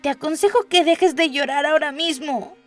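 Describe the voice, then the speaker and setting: A woman's voice: high-pitched, moderate pace, soft background music, pitched above the performer's own voice, close to an everyday voice. A woman voicing a curious little girl from a storybook, musing and scolding herself as she thinks aloud. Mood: firm, scolding. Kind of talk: storybook character voice.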